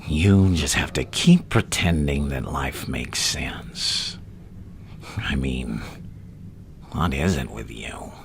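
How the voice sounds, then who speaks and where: Crazy evil clown villain, gravelly half whisper asmr, super slow and taunting, swings up and down a lot, some background noise. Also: male voice, rumbling low, exaggerated voice. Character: menacing, gleefully insane adult male supervillain clown.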